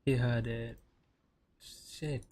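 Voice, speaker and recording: A man's voice: medium pitch, fairly even intonation, very slow, darkish tone. A man giving casual, terse reactions as he streams a game, in short muttered remarks. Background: game music and clicking.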